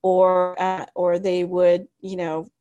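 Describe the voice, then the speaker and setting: Woman, thirties, interview guest, lowish voice, reflective, pauses and restarts. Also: monotone, highs cut off. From United States. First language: Cantonese. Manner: reflective, many restarts and ums, laughs softly. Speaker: guest, author. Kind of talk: candid interview storytelling.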